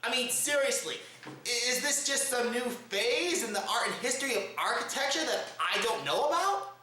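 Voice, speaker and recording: Animated man hosting, in a very echoey room. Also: extremely high pitch, moderate pace, slight background noise. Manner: theatrical, exaggerated showman delivery. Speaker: host of a comedic news show. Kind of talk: comedic news commentary to camera. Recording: roomy, echo-heavy home recording.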